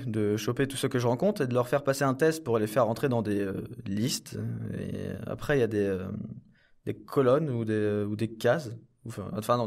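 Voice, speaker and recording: A man's voice: mid-range voice, darkish tone, lightly acted. A man playing an inarticulate clone henchman in a comedy audio drama, rambling, hesitant and easily exasperated.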